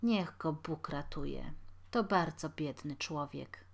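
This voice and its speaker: A woman reading an audiobook, voicing an older male landlord who speaks low, slowly and quietly. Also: creaky, slightly rough, heavily acted. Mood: solemn. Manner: low, slow, hushed.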